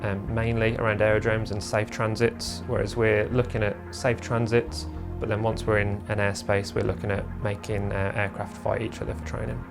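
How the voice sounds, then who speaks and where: A man's voice: on the low side, little pitch movement, moderate pace, vocal fry, few pauses, over music. A man, a military instructor, explaining his work informally in an interview.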